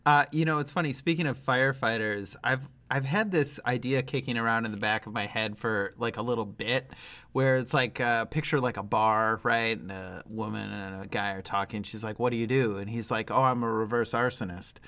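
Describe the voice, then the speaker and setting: A man's voice: medium pitch, a little fast, sharp tone. A man in his forties chatting casually as the co-host of a podcast. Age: 40s.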